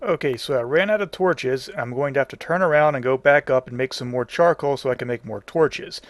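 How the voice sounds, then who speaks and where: A man's voice: medium pitch, fairly quick. A man hosting a casual video game tutorial, explaining each step in plain, folksy wording. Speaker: tutorial host.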